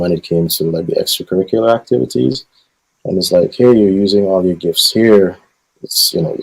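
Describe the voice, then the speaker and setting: A man's voice: deep voice, creaky, husky, poor audio. A man with a Guyanese accent, a gospel singer in his thirties, talking about his life in an interview with lots of fillers. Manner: rambling with many fillers. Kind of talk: casual interview answers.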